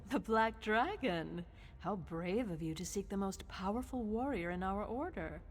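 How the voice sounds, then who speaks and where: An actress playing a poised, sly woman of a warrior order in a video game, with measured, silky, teasing speech. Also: mid-range voice, lively intonation, husky, faint music behind. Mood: smooth, teasing. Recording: long reverb, loud ambient noise.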